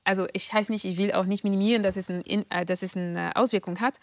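A woman from France speaking German with a French accent, giving measured, hesitant interview answers. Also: medium pitch, steady tone, no room sound. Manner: measured and deliberate, with frequent hesitations. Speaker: guest.

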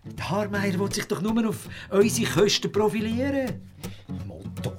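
A man's voice: sits high, sing-song, a little slow, music in the background. An actor playing a crude, hot-headed middle-aged male office worker in a radio drama, blustering and cursing. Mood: scornful, irritated.

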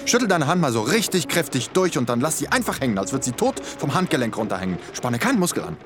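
A man's voice: medium pitch, big pitch swings, quick pace, music in the background, close to an everyday voice. A man playing an eager, friendly young circus magician in a radio drama, speaking casually. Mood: instructing.

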